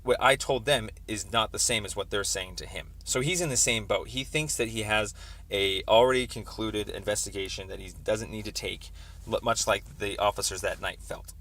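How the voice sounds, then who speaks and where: Low-voiced man, halting and wordy. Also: a bit bright. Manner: halting, wordy, roundabout explanations. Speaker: caller making a complaint. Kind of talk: phone conversation, explaining a complaint. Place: telephone call. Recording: recorded phone call.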